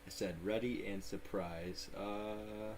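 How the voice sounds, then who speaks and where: Chill young guy, lowish voice, says like a lot, laughs a bunch, faint hiss behind. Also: takes it slowly. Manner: relaxed, laughing, filler-heavy. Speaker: podcast co-host. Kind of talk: casual chat.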